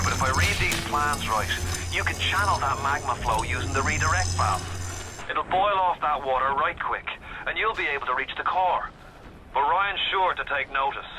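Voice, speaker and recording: An actor playing a streetwise, gruff Irish resistance leader in a video game, guiding over a radio with colloquial, conspiratorial talk. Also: male voice, medium pitch, very noisy. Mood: wary, practical. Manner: colloquial, folksy slang with dry cursing.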